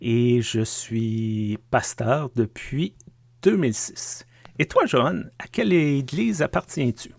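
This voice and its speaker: Quebecois guy, pastor chatting on a podcast, average pitch, really dry sound no echo. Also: takes it slowly, creaky. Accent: Québécois French. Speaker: podcast co-host and United Church pastor. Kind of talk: conversational podcast talk. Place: home basement.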